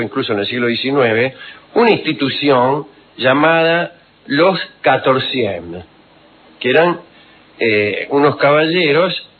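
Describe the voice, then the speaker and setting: A man, telling historical anecdotes on the radio in an unhurried, wry, digressive way full of fillers. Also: mid-range voice. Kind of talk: rambling storytelling on radio. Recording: tinny phone-like radio recording.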